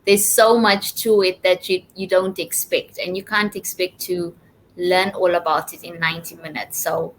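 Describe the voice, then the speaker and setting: A woman's voice: lowish voice, level tone, normal speed, poor audio. A woman from Zimbabwe speaking casually as an interview guest, telling of personal experience.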